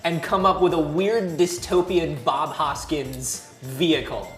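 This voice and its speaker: Low-voiced woman in an emphatic chat. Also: fairly even intonation, measured pace. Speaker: panelist on a video game talk show. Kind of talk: casual panel debate. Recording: roomy echo, music underneath.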